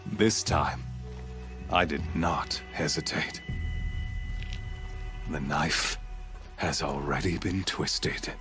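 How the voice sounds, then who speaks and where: A man playing a cold, pompous zealot villain in a video game, gloating in a measured, theatrical sermon. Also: a bass voice, over loud music, heavily acted. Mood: smug, cold.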